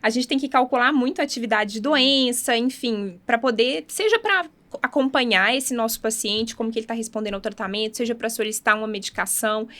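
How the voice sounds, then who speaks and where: Slightly high-voiced woman doctor guest. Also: fairly quick, a bit bright. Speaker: rheumatologist guest. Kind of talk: casual podcast conversation.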